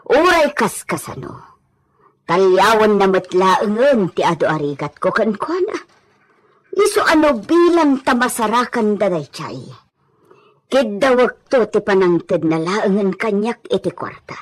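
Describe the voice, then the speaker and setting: A woman playing a money-hungry, resentful village gossip in an Ilocano audio drama, with a husky voice. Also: medium pitch, very animated, unhurried.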